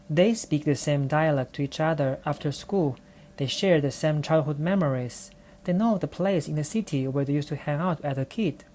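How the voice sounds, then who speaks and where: A woman's voice: low voice, darkish tone. A woman from China with a Chinese accent, speaking English as she tells a personal story on her own podcast in a reflective, careful way. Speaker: podcast host.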